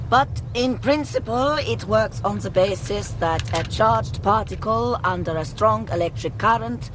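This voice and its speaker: A guarded wartime German engineer played by a woman in a radio play. She speaks formally and precisely in a German-accented, medium-pitched voice. Loud ambience runs behind her. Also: a little slow, background noise, natural voice. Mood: explaining, reverent. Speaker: actress in a radio drama. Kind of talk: BBC radio drama acting.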